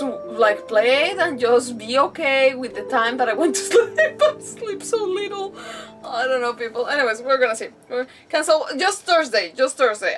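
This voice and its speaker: High-voiced woman livestreamer, music behind her. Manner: animated, rambling, self-deprecating venting. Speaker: game livestreamer. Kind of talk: casual livestream chat to viewers. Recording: distant mic, music playing behind. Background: background music.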